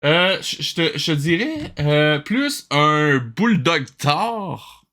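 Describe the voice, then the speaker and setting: A man's voice: on the high side, very animated, slow pace, bright, forceful tone, faint music behind. A man hosting a livestream, giving casual reaction commentary in a sarcastic, exasperated way with some swearing. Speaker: livestream host.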